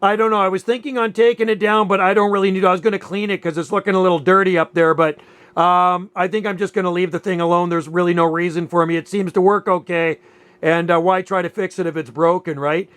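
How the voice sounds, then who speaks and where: A man's voice: high-pitched, fairly even intonation, fast talker, fairly bright tone, smooth. A man in his late fifties chatting casually as a radio operator, with folksy, easygoing phrasing. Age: late 50s. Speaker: amateur radio operator and channel host. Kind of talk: casual two-way radio chat.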